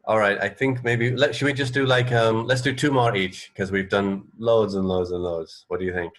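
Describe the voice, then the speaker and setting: Male radio co-host with a deep voice, conversational and informal, prone to hesitant repetition. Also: fairly even intonation, moderate pace, fairly bright tone. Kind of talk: casual banter chat.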